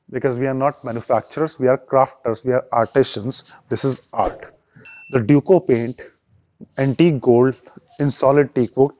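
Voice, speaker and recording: A man's voice: medium pitch, a little mellow, dry recording, lo-fi sound. Indian man walking through a product. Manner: explanatory, measured, sales-minded. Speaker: furniture company presenter. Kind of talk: product walkthrough. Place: large furniture showroom indoors.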